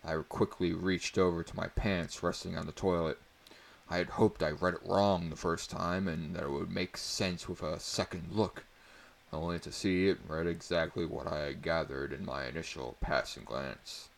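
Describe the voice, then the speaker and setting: Deep, creaky male story narrator. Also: steady tone, normal speed. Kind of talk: dramatic first-person story reading.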